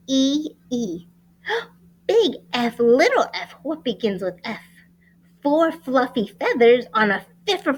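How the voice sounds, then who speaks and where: A woman reads aloud to young children like a teacher at story time. Her voice sits fairly high and swings widely in pitch. She takes it slowly, with a sing-song lilt and carefully sounded words. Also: rough recording. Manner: expressive, sing-song, deliberate enunciation. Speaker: teacher reading a picture book aloud to children. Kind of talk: animated children's read-aloud.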